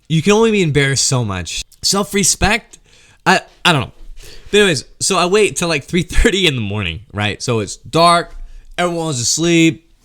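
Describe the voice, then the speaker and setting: A man tells a funny story in a loose, slangy, sweary way. His voice is bright and forceful, and his pitch swings widely as he animatedly acts out quotes and noises. It is casual host talk to his followers.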